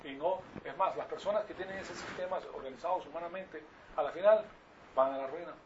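A man's voice: on the high side, a little fast. An older man from Venezuela with a Venezuelan Spanish accent, preaching an informal Bible lesson in a didactic, repetitive way with rhetorical questions to his listeners. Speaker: preacher teaching a congregation. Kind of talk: informal Bible-teaching sermon. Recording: distant mic, muffled, clearly noisy.

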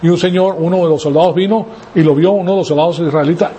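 A man's voice: high-pitched, fairly even intonation, fast, dark tone, slightly rough. A man raised in Venezuela preaching a sermon, emphatic, asking rhetorical questions and tagging lines with "ok?". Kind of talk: sermon teaching.

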